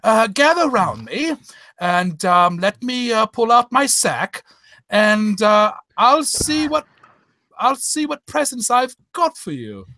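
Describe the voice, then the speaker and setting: A man's voice: high-pitched, sing-song, slow, sharp tone, dry recording, full character voice. A man playing a flustered, stammering, easily exasperated doctor in a comic old-time radio drama. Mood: flustered, hesitant.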